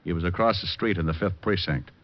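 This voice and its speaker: An actor playing a wry, cocky, unflappable private detective in an old-time radio drama, glib and sardonic. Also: male voice, low-pitched, fast, lightly acted. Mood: calm, needling. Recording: dull old radio recording, faint music.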